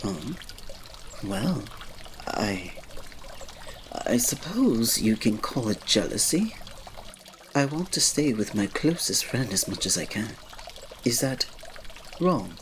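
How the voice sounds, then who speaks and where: A man playing a reserved, devoted royal servant in an ASMR roleplay, speaking formally and politely with quiet fondness and restraint. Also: medium pitch, very animated, slow, pauses often, a little hiss or hum. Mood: shy, earnest. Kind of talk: ASMR roleplay, scripted drama.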